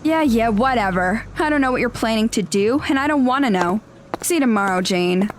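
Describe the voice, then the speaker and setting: A woman's voice: fairly high pitch, animated, brisk, noisy background, lightly acted. A woman playing a haughty, theatrical teen girl. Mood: dismissive. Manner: theatrical, pompous, dismissive. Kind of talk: audio drama acting.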